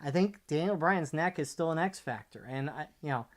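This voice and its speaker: A man co-hosting a talk podcast, discussing sports casually and analytically, measured and point by point. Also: a little high, expressive. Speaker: podcast co-host.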